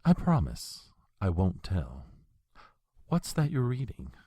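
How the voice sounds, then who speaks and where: An actor playing an old, courtly and menacing male vampire in an audio drama, speaking with a suave, husky voice. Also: low-pitched, takes it slowly, no echo, clean studio sound, exaggerated voice. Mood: silky. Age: older. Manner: suave, flattering, then threatening.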